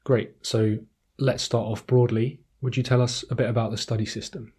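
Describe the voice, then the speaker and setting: A man's voice: mid-range voice, flat delivery, moderate pace, warm tone, breathy edge. A man hosting a podcast, speaking in a scripted, presenting style as he introduces and interviews guests. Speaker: podcast host.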